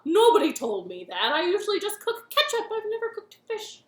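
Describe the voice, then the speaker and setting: A flustered monster chef frets and rambles loudly, as a woman puts him on. The voice is high and swings widely in pitch. A roomy echo surrounds it. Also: wildly swooping pitch, fairly quick, exaggerated voice.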